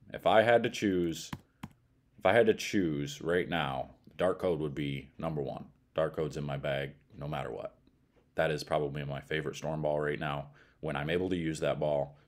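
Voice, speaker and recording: A middle-aged man with an American accent gives a casual product review in a blunt, colloquial way. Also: rumbling low. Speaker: bowling ball reviewer.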